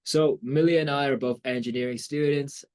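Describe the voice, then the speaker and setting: A man's voice: mid-range voice, fairly even intonation, normal speed, a little mellow. A young man hosting a student podcast in an upbeat casual style, often saying "absolutely". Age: young adult. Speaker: podcast host.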